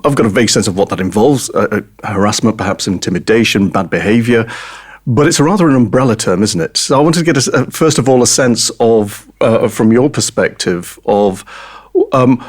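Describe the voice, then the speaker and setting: A man hosting a podcast interview, asking measured, somewhat hesitant questions. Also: fairly low pitch, lively intonation. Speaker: podcast host.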